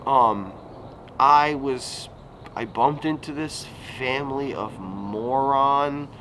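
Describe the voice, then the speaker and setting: A man's voice: medium pitch, takes it slowly, fairly bright tone. A man in his thirties talking casually in a rambling vlog, full of fillers and self-corrections, recorded outdoors at a resort recreation area. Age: 30s. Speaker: YouTuber. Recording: noisy outdoor recording with passing vehicles.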